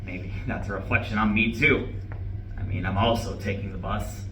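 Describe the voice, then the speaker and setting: Bright-voiced grumbling man, acted, echoey room. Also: lowish voice, steady tone, moderate pace, lightly acted. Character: down-on-his-luck, fussy, self-pitying man. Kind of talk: live stage play reading. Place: room at a live campus play reading. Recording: echoey, distant live recording, faint noise.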